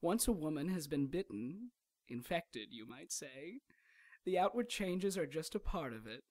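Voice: mid-range voice, very animated, darkish tone. Gloating, theatrical troll villain. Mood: smug scheming. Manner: mocking, theatrical, drawn-out courteous menace. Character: gloating, sinister troll villain, leader of mole men. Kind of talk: radio drama acting.

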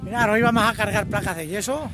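A man's voice: sits high, quick pace, music in the background. A middle-aged man, a truck driver, chatting casually to a phone camera held at arm's length outdoors. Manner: chatty, greets viewers as artists. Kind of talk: casual vlog chat to camera. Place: outdoors by trucks and roadside, windy. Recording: noisy outdoor phone audio. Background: wind and traffic noise.

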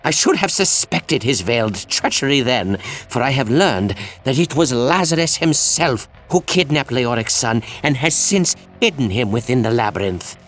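An actor playing a grave, anguished village priest in a video game, speaking in a solemn, theatrical, old-fashioned way. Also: male voice, mid-range voice, animated, moderate pace, fairly bright tone. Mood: bitter, regretful. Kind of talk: dramatic video game dialogue.

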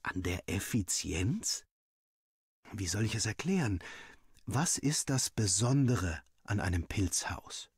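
A man reading a first-person story as a narrator, acting out the dialogue in an animated way. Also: on the low side, a little slow, husky, no room sound, studio quality. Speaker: audiobook reader.

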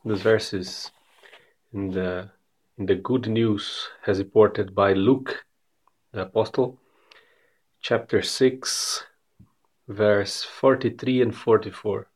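A Brazilian man in his thirties speaks English slowly and carefully, with a Brazilian Portuguese accent and frequent restarts as he searches for words. His voice is husky and a little low. He talks straight into a phone held at arm's length. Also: steady tone, a little mellow. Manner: slow, careful non-native English with frequent repeated words. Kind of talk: casual devotional message to camera. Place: bright room indoors. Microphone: phone camera at arm's length.

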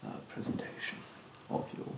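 A young man playing a rude, snobbish, fastidious facial therapist in a soft, half-whispered ASMR roleplay, haughtily polite and hesitant. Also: roomy sound, noisy background, natural voice. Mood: fussy, instructive. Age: young adult. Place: bedroom, indoors. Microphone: close mic in front.

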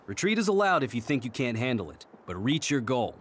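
A man's voice: medium pitch, very animated, a little fast, slight background noise, natural voice. A man playing an affable, composed military captain in an anime game, easygoing yet authoritative. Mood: commanding. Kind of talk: English anime-game voice acting. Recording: faint music behind the voice.

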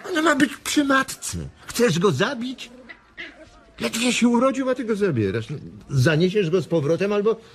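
An actor playing a weary, protective and stern farm labourer in a radio drama, plain-spoken and firm. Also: male voice, high-pitched, lively intonation, normal speed, slight background noise. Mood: stern, scolding.

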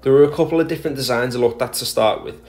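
A man's voice: mid-range voice, very fast, a little mellow, soft background music. A man narrating a voice-over about his garden project, explaining his steps in a plain, methodical way with speech and small restarts. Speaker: garden DIY vlogger.

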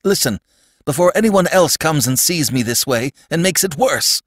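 A man's voice: fairly high pitch, big pitch swings, slow, a bit bright, no echo, studio quality, pitched above the performer's own voice, exaggerated voice. A man voicing a terrified shapeshifting alien in a storybook reading, pleading and frantic in his outbursts. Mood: frightened pleading.

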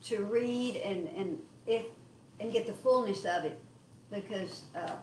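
A woman in a home Bible study group sharing her thoughts earnestly, pausing often to find words, recorded by a camera in a living room. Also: mid-range voice, darkish tone, a little hiss or hum. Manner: earnest, halting with fillers. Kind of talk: casual group discussion. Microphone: far camera in the room. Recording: distant voice in echoey room.

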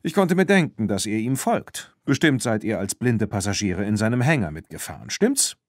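A man's voice: fairly low pitch, big pitch swings, moderate pace, no echo, studio quality. A man voicing an easygoing, helpful teenage boy with a casual, self-assured manner in an audiobook. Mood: confident.

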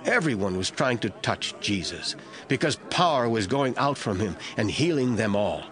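A man narrating a scripture audio drama from a script in a deliberate storytelling manner. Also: lowish voice, big pitch swings, a bit bright. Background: faint music.

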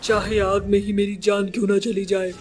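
Man voicing a very high-pitched, earnest witch. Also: little pitch movement, moderate pace, soft background music, natural voice. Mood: urgent, resolute. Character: self-sacrificing female witch who rescues children. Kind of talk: Hindi horror cartoon acting.

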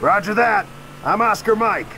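An actor playing a terse soldier in a video game, giving clipped military replies. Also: male voice, high-pitched, animated, moderate pace, creaky, bright, forceful tone, slight background noise, exaggerated voice. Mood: dutiful. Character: terse male armored shock trooper soldier.

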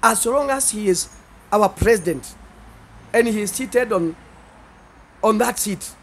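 High-voiced middle-aged Kenyan pastor. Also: male voice, a little slow, fairly bright tone, a little hiss or hum. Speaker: pastor being interviewed. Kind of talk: earnest interview talk. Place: seated indoors in a small studio set. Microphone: handheld interview microphones held by the interviewer.

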